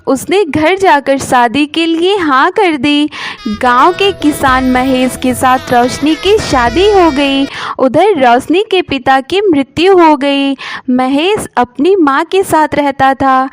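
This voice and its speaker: A woman reads a scripted story as narrator. Her voice is high and her pace slow, in a very dry recording. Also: no echo, soft background music.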